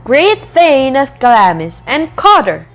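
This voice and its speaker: Ambitious, commanding noble wife in a radio play, played by a woman; unhurried, deliberate, faint music behind. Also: sits high, big pitch swings, a bit bright, exaggerated voice. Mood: goading, coaxing. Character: Lady Macbeth, ambitious, ruthless scheming noblewoman wife. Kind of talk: radio drama acting.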